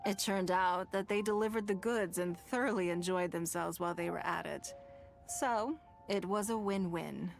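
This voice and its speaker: An actor, a woman, playing a poised, gracious and shrewd noblewoman in a video game, composed and measured. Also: mid-range voice, gravelly.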